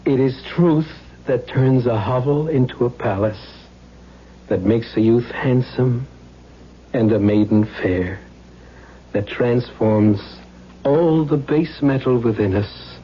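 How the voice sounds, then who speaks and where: A man's voice: medium pitch, slow pace, natural voice. An actor playing a timid, bashful man in an old-time radio drama, hesitant and stammering as he resists temptation. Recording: muffled, hissy old radio drama recording.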